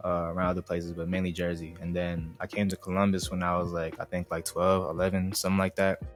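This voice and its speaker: A young man with a deep, low-pitched voice talks in a loose, slangy way, dropping "you feel me" again and again. He is an easygoing interview guest speaking over faint music. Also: monotone, fast. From born in Indonesia, raised in the US. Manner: laid-back slangy talk, constant "you feel me". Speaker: guest singer and producer. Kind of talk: casual chat.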